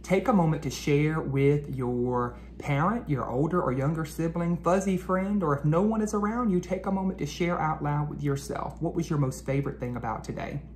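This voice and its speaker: A man hosting a children's read-aloud, speaking expressively to young listeners with drawn-out storybook phrasing, as an educator. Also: a little high, a little mellow. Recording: noticeable background noise.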